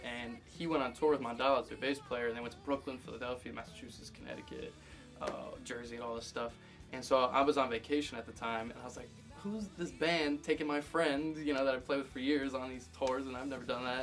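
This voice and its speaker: A man's voice: medium pitch, a bit bright. A young man in his twenties, a drummer, telling a rambling story eagerly in a casual interview. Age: 20s.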